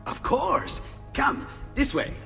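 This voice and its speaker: Casting: courteous, eager Renaissance inventor for a game, wildly varied pitch, creaky voice, reverberant room. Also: male voice, huge pitch swings, slow pace, pushed and bright, exaggerated voice. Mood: flustered, welcoming. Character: excitable, friendly, courteous Italian artisan-inventor. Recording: long hall reverb, faint music.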